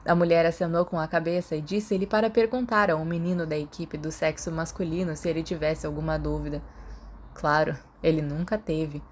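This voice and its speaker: A woman's voice: sits low, steady tone, a little fast, a little hiss or hum. A woman with a Brazilian accent reading a story aloud as scripted audiobook narration.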